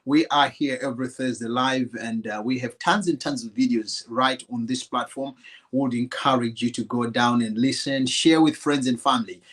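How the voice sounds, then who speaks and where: A man's voice: medium pitch, fairly even intonation, normal speed, fairly bright tone. A man hosting a live online talk show over a video call, greeting viewers and his guest in a friendly, easygoing way. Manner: friendly, many fillers and restarts, laughs. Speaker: show host.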